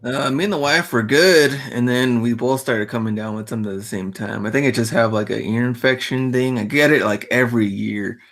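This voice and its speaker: A man co-hosting a podcast in casual chat, his voice a bit worn from being sick, relaxed and easygoing. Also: medium pitch, a little fast, hardly any pauses. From Texas. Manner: relaxed, easygoing, laughs along. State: worn voice from illness. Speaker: podcast co-host.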